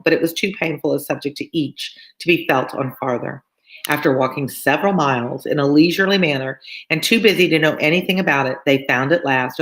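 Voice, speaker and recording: A woman's voice: sits low, a bit bright, poor audio. A woman tutoring on a live read-along of a classic novel, reading aloud and adding informal explanatory asides for listeners.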